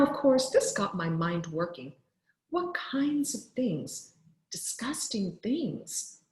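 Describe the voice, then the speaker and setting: Unhurried woman teacher in an echoey room. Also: mid-range voice. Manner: deliberate, clearly phrased teacher delivery. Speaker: teacher reading aloud to pupils. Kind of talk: expressive storybook read-aloud for children.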